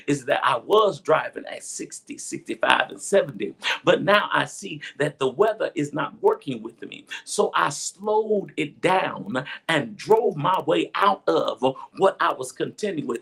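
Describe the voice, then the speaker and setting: A man's voice: fairly high pitch, fairly bright tone. A man preaching an impassioned sermon in a folksy way, repeating refrains and punctuating his phrases with grunted hums. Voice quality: husky, gravelly. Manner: folksy, colloquial, addressing the congregation directly.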